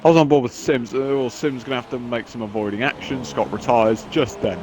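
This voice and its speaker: A man's voice: mid-range voice, fairly even intonation, fairly quick, background noise. A man commentating a sim race in casual chat, sounding nasal from a cold, rambling and poking fun at himself. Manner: rambling, self-mocking, chatty.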